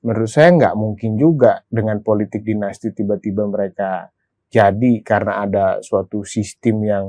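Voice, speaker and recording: A man's voice: fairly low pitch, steady tone, moderate pace, mellow, dry recording. Male host, dry close sound. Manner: informal, argues a point then checks agreement. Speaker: talk show host. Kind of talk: conversational political discussion.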